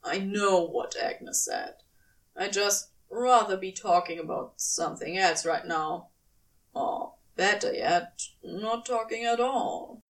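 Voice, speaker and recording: A woman's voice: medium pitch, slow, far from the mic, close to an everyday voice, low-quality recording. A woman voicing a laid-back, tender male supernatural being in an audio story. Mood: reluctant, teasing.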